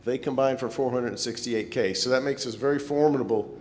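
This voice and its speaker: A man's voice: a little fast, darkish tone. A man giving an informal, slightly hesitant club presentation in a meeting hall. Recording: echoey hall, rumbling background noise.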